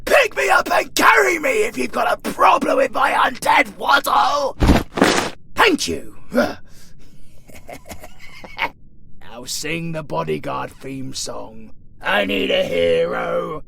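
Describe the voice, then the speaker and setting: Wildly theatrical British undead necromancer. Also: male voice, big pitch swings, slow pace, creaky, bright, forceful tone, breathy edge, raised voice, full character voice. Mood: indignant, frantic. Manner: theatrical, swinging from sly whispers to shrill shrieks. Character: gleefully evil undead necromancer, flirty and foul-mouthed. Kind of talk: audio roleplay acting spoken to the listener.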